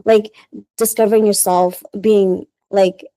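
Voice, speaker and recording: A woman who grew up in the Philippines talks through her answers in a loose, chatty way, filling her speech with "like" and small laughs. Her voice is mellow and warm at a middle pitch. The recording is dry and close. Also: level tone, measured pace, dry recording. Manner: chatty and rambling, many "like"s, laughs often. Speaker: guest, small business founder. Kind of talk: casual interview answers.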